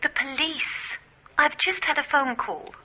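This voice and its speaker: A woman's voice: sits high, unhurried, bright, forceful tone, full character voice. An actress playing an anxious, upset woman breaking bad news in a radio thriller, agitated as she speaks. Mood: shaken. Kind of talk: radio drama acting. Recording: muffled 1980s radio drama recording.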